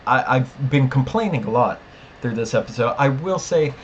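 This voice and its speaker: A man's voice: medium pitch, animated, moderate pace, highs cut off, slight background noise. A man co-hosting a casual TV review podcast, speaking emphatically and repeating words for stress. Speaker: podcast co-host discussing a TV series.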